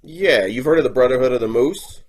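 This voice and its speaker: A man's voice: medium pitch, fairly quick, lightly acted. A man playing a shiftless, wisecracking boyfriend, slangy and evasive, in old-time radio comedy style. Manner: slangy, evasive, mock put-upon.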